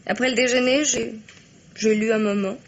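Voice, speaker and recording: A young woman playing an earnest, well-bred, spirited granddaughter in a radio drama, polite and at times hesitant, with a slightly breathy, husky voice. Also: a little high, fairly even intonation, moderate pace, dull audio, lightly acted. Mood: animated. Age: young adult. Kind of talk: French radio drama acting.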